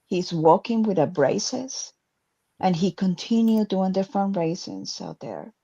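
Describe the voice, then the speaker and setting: A woman's voice: medium pitch, big pitch swings, measured pace, dark tone, husky, highs cut off. A woman with non-native English talking casually and earnestly, with small grammar slips.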